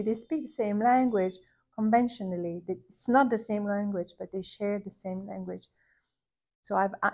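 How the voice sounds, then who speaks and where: Woman, soft thoughtful storytelling, lots of restarts and pauses, mid-pitched. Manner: hesitant, searching for words, many restarts; soft and contemplative, rising to warm emphasis. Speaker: podcast host recounting a visit. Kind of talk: reflective personal storytelling.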